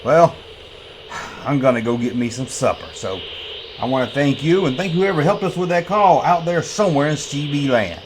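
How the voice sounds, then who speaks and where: A man's voice: fairly high pitch, lively intonation, normal speed, poor audio. A folksy man hosting a toy review, chatting casually with playful CB slang and repeating himself. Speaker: toy review video host. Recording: faint background noise.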